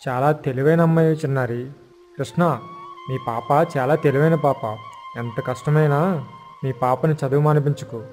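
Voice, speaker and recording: A man voicing a kindly, encouraging village schoolteacher with a teacherly manner in an animated moral story. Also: fairly high pitch, normal speed, faint music behind, lightly acted. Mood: approving.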